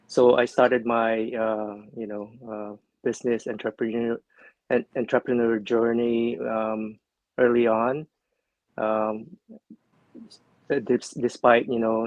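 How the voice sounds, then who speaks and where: A man's voice: fairly low pitch, darkish tone, dry recording, dull audio. A man from the Philippines, a retired Navy veteran, talking casually with many fillers and restarts. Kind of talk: casual livestream chat.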